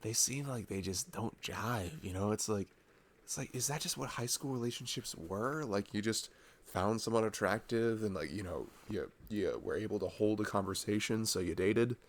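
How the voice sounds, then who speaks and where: A young man playing a sweet, introverted college-age boyfriend in an intimate ASMR roleplay, rambling casually and affectionately in a halting way. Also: lowish voice, a little hiss or hum, close to an everyday voice. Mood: affectionate, earnest. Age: young adult. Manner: rambling, halting, chuckling asides.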